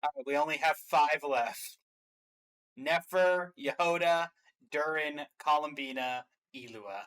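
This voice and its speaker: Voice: medium pitch, a little slow, fairly bright tone, poor audio. A reaction show host giving casual commentary in conversation with a co-host.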